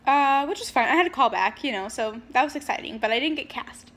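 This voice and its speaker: A woman's voice: high-pitched, expressive, a little fast, fairly bright tone. A young woman vlogger casually telling personal stories, chatty, with frequent ums and shifts in pace. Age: young adult. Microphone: handheld camera.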